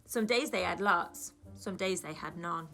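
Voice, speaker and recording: A woman, a primary school teacher, reading aloud to young children in an expressive storybook style with dramatic emphasis. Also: lowish voice, measured pace, vocal fry, faint music behind.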